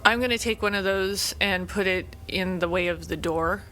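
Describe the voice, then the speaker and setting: Bright-voiced woman playing a tabletop game. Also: lowish voice, normal speed, a little hiss or hum, low-quality recording. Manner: inquisitive, thinking aloud. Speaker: player. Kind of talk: tabletop role-play.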